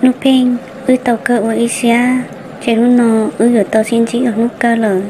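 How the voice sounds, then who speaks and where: A woman voicing a young woman in an amateur folk-tale cartoon dub, speaking in drawn-out, chanted phrases. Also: fairly high pitch, level tone, a little hiss or hum, natural voice, poor audio. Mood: earnest. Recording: dub with loud background music.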